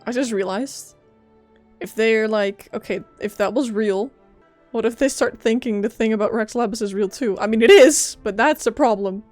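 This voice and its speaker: A woman's voice: a little high, moderate pace, a little mellow. A woman game streamer chatting casually and playfully as she reacts to a story game on a close mic. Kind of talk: casual reactions and chat over a game playthrough. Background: faint game music.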